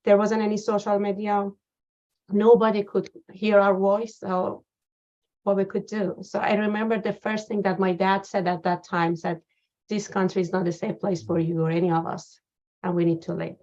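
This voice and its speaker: A woman guest in an interview, telling a personal account slowly with many pauses and fillers. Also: mid-range voice, flat delivery, dark tone. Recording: dull lo-fi sound.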